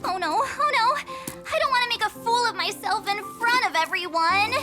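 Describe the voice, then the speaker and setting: An actress playing a perky, excitable teenage girl adventurer in an anime-style video game, bubbly and expressive. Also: very high-pitched, moderate pace. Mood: panicked, comic. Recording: loud music bed.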